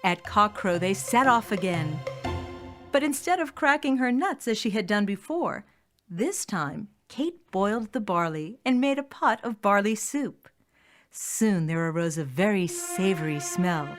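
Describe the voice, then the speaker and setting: A woman's voice: mid-range voice, expressive, unhurried. A woman storyteller reading a scripted fairy tale for children, with music behind her voice.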